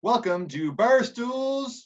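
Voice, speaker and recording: A man's voice: very high voice, lively intonation, slow, background noise. Male co-host with a slightly dull recording. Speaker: talk show co-host. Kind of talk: casual music talk show introduction.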